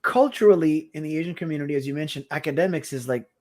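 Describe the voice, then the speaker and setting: A man's voice: fairly high pitch, quick pace. Man from the Caribbean, rambling host questions. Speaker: podcast co-host. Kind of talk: casual interview chat.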